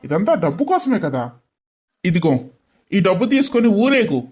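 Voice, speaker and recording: A woman's voice: medium pitch, big pitch swings, moderate pace, warm tone, breathy edge, voice pushed down. A woman voicing a contemptuous, accusing young husband in a dramatized story. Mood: contemptuous, disgusted.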